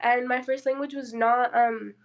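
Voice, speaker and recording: A woman's voice: on the high side, little pitch movement, moderate pace. A young woman whose first language is Spanish, speaking openly and personally on a discussion panel, with a lot of fillers. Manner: open, personal, many fillers.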